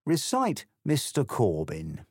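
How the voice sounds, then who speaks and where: A man's voice: mid-range voice, huge pitch swings, slow, darkish tone, dry recording, voice pushed up, exaggerated voice. Storybook caterpillar played by a male reader: bored, languid, sleepy drawl, unimpressed, dry recording. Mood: languid, dismissive.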